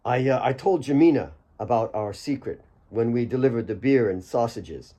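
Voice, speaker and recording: A man's voice: mid-range voice, moderate pace, warm tone, lightly acted. A man voicing a modest, good-humoured young man in an audiobook, hesitant and wry. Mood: sheepish amusement.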